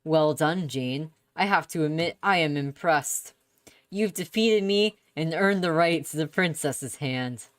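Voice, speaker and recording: A woman's voice: low-pitched, unhurried, no echo. A woman voicing a composed, courtly male mage from a visual novel, with music behind her. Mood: gracious, conceding. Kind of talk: visual novel character reading. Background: background music.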